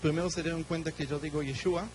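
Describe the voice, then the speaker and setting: Guest preacher, Israeli man, Hebrew, short phrases left for the interpreter, slightly high voice, faint hum. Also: fairly even intonation, fast, a little mellow. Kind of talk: sermon with live interpretation.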